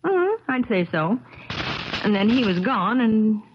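An actress playing a chatty, breezy wife in a 1970s radio drama, with a teasing, forthright way of talking. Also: female voice, a little high, big pitch swings, slow. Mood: gossipy. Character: chatty, breezy wife from the neighboring summer cabin. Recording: crackly, muffled 1970s radio recording.

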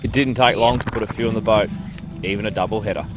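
A man's voice: on the low side, steady tone, quick pace, music in the background. A man narrating and signing off a fishing trip video in a casual, upbeat way. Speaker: fishing vlogger.

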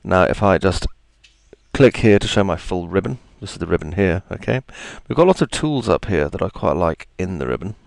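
A man with a gravelly, deep voice, chatting his way through a software tutorial with plenty of ums and asides. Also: lively intonation, brisk, no room sound. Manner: chatty, self-deprecating, thinks aloud with ums. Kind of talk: screen-recorded software tutorial.

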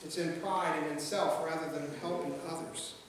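A man's voice: a little high, steady tone, normal speed, a little hiss or hum. A middle-aged man preaching a sermon from a pulpit in a large church, in a conversational manner that repeats words as he goes. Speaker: pastor. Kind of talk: sermon with jokes and quoted voices. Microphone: pulpit mic, filmed from a far camera. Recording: echoey hall, far-off mic, faint ambience.

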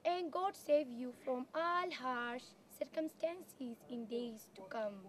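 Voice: high voice, takes it slowly, a bit bright. A student playing a devout religious leader who gives a formal, declamatory harvest blessing in a school drama. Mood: solemn, reverent. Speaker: student performer. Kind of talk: recited prayer and speech in a school drama. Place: outdoors in a garden, camera at a distance.

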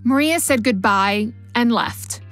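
Podcast narrator, woman, bright high register, measured scripted delivery. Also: faint music behind.

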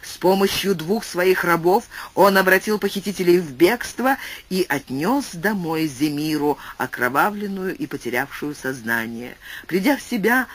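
A man reading a classic philosophical tale aloud as an audiobook narrator, with expressive, swooping delivery and shifting pace. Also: high-pitched, normal speed. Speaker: audiobook reader.

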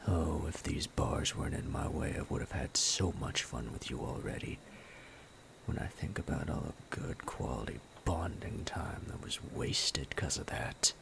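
A man voicing a sadistic male bandit captor in an ASMR roleplay, low and gravelly, often whispering in a menacing, playful way. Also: unhurried, exaggerated voice. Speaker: voice actor.

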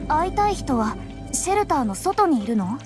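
Casting: young female adventurer, cool yet curious, anime game, high voice, varied intonation. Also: sing-song, moderate pace, over music. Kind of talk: Japanese anime game voice acting.

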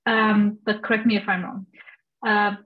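A woman's voice: medium pitch, level tone, unhurried. A young adult woman informally moderating a webinar Q&A and relaying questions over a video call. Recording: dull, lo-fi video call audio.

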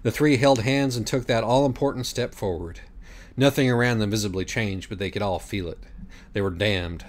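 A man's voice: on the low side, moderate pace. A man reading a parody audiobook as a dramatic narrator, with a theatrical, sardonic manner.

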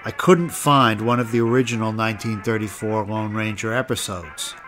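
A man's voice: fairly low pitch, normal speed, fairly bright tone, soft background music. A man hosting a podcast, reading scripted narration and credits. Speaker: podcast host.

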